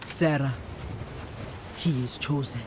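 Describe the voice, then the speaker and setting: A man playing a devout spiritual guide in a video game, speaking in a solemn, measured way. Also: medium pitch, noisy background, natural voice. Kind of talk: video game character acting.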